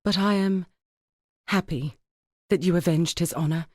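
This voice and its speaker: A woman playing an earnest, formal woman scholar in a dark fantasy video game, speaking in a measured way. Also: on the low side, dry recording, clean studio sound. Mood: grieving, grateful. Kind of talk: scripted dramatic game dialogue.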